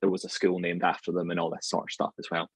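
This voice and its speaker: Scottish man, low husky voice, rambling with ums, guest on a podcast. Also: quick pace, no echo. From Scotland, near Glasgow. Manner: relaxed, rambling, with frequent ums and "sort of". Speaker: anatomy lecturer, podcast guest. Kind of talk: conversational interview answers.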